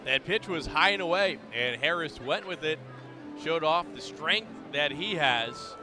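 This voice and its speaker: An American man giving measured, explanatory color analysis during a live baseball broadcast. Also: fairly high pitch, lively intonation, music in the background. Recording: busy ballpark crowd behind the voice. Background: clear crowd noise.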